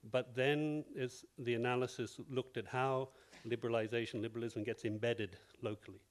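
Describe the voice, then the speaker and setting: A male researcher making a measured, reflective comment from the floor in a panel discussion. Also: medium pitch, flat delivery. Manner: measured, reflective, wondering aloud. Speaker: researcher at a conference.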